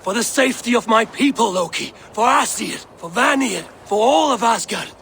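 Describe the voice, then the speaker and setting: A man's voice: extremely high pitch, animated, moderate pace, vocal fry, a bit bright, a little hiss or hum, exaggerated voice. An actor playing a proud, stern Norse god-king in a video game, speaking in a grave, commanding, formal way. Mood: defiant. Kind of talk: dramatic video game cutscene acting.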